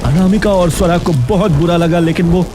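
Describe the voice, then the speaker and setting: A man's voice: high voice, moderate pace, background noise. A man narrating a children's story in a dramatic, scripted style. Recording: loud music under the voice.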